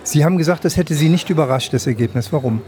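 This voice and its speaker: A man's voice: on the high side, quick pace, mellow. A man, interviewing a guest for radio with long, elaborate questions. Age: 60s.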